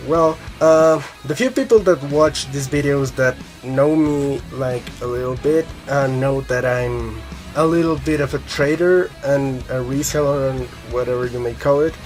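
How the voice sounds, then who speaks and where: A man's voice: on the high side, a little mellow. Colombian-accented young man rambling casually. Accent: Colombian Spanish. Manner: rambling, lots of fillers, swears casually. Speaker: guitar vlogger. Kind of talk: casual rambling monologue to camera.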